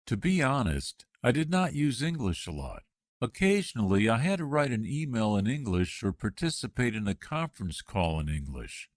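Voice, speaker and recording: Expressive man reading interview answers. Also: mid-range voice, normal speed, no room sound, clean studio sound. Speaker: job candidate in a practice interview. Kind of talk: scripted dialogue for English learners.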